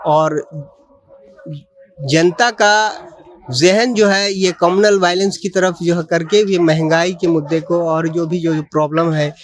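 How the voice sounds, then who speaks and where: A man's voice: high-pitched, fairly even intonation, measured pace. A man giving his opinion in an impromptu interview, emphatic and repetitive, leaning on a filler phrase. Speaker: member of the public being interviewed.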